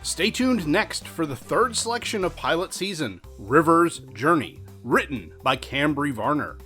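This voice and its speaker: A man delivers a scripted show introduction as an announcer. His voice is a bit high and lively in pitch, with music underneath. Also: a little slow.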